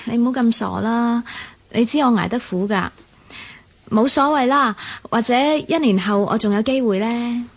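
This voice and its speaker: Radio drama role: plucky student daughter, Hong Kong Cantonese, fairly high-pitched, gentle, dutiful. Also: female voice, normal speed. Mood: tender. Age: young adult. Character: dutiful, plucky young accountancy student daughter, self-sacrificing.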